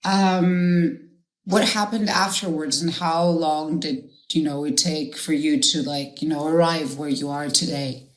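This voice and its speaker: A woman's voice: low voice, steady tone, a little slow, echoey room, rough recording. A woman hosting a podcast interview, talking in a loose, rambling way with lots of fillers. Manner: rambling, many fillers like "like" and "you know". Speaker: podcast host. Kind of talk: casual interview.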